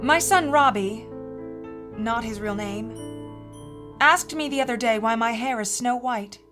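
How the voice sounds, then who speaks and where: A woman playing a haunted mother in her forties, telling a first-person horror story in a measured, earnest, confiding way. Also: fairly high pitch, fairly bright tone. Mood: sober, confiding. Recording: music bed under the voice.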